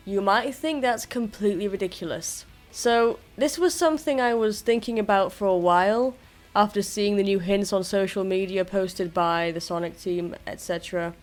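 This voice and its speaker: A YouTuber presenting fan theories in a casual, self-deprecating commentary addressed to viewers. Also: mid-range voice. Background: music.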